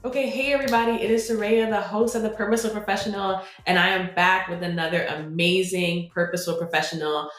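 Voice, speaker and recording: A woman's voice: medium pitch, steady tone, fast talker, few pauses, a bit bright, soft background music. A woman hosting a podcast interview, talking with enthusiasm and praising her guest. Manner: enthusiastic, upbeat. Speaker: podcast host. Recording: roomy echo.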